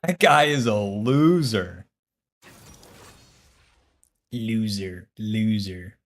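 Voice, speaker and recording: A man's voice: on the low side, drawn-out words. A man in his twenties streaming a game, talking casually and bluntly, cursing freely and answering chat questions aloud. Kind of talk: casual livestream chat while playing.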